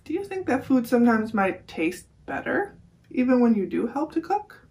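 A woman's voice: on the high side, sing-song, normal speed, a little mellow. A young American woman reading a picture book aloud to children. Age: young adult. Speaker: nutrition educator reading to children.

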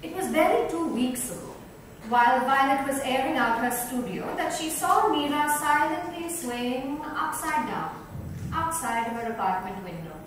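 A woman's voice: sits high, expressive, normal speed. A woman giving a dramatic scripted reading of a book, seated in a lounge. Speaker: reader. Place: club lounge, seated in a row. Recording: distant echoey room, faint noise.

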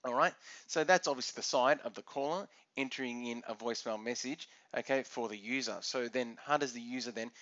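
A man's voice: mid-range voice, brisk, sharp tone, lo-fi sound. A man teaching in an explanatory screencast, going step by step and often punctuating with "okay". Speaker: teacher presenting a tutorial. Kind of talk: explanatory screencast lesson, semi-scripted.

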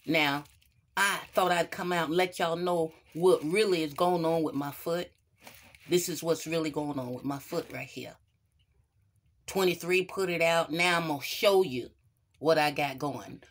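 A man putting on a crude, swaggering character with a rotting foot, cursing and yelling his catchphrases in a livestream comedy skit. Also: a little high, measured pace, slight background noise. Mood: frantic. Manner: profane, boastful, yelling catchphrases.

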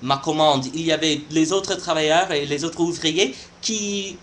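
A young man speaks French, pausing often and searching for words in a shy, halting way. His voice sits a little high, with faint noise behind it. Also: fairly bright tone. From United States. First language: not French. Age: young adult. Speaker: vlogger. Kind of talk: casual vlog monologue.

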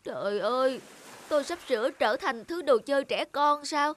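Woman voicing a high, lamenting cartoon wooden mast. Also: animated, moderate pace. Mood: despairing. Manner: dramatic lamenting. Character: proud, self-pitying broken wooden ship mast. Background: background music.

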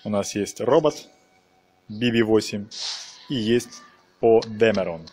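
A man's voice: on the low side, slow, a little mellow, breathy edge. A man giving casual, chatty game commentary as a let's-play host, explaining hesitantly as he plays. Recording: game music behind the voice. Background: video game music.

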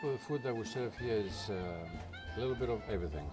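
A man's voice: mid-range voice, lively intonation, fast talker, over music. An older restaurant owner from Greece, a man with a Greek accent, talking casually in an interview.

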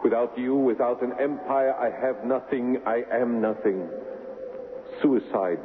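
An actor playing a brooding, lovelorn crown prince in a 1970s radio drama, grave, formal and measured. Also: male voice, medium pitch, fairly even intonation, in a large hall, lightly acted. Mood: grave, despairing. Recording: muffled narrow-band old radio, faint noise.

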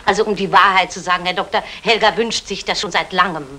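A woman's voice: on the low side, steady tone, quick pace, bright, forceful tone. An actress playing a chatty, coaxing middle-aged mother in a vintage German radio comedy. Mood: coaxing, flattered. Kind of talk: 1960 German radio comedy crime play.

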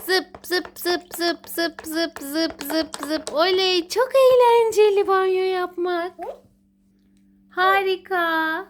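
High-voiced mother in playful child-directed talk. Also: slow. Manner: encouraging, sing-song child-directed talk with repeated praise. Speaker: mother playing with her young child. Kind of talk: playful educational play narration for a small child. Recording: clearly noisy home recording with clicks.

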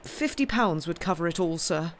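A man voicing a sheepish young nephew in a storybook reading, meek, halting and apologetic. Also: a little high, expressive, a bit bright, a little hiss or hum. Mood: desperate. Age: young adult. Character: sheepish, apologetic young nephew, cornered about his debts.

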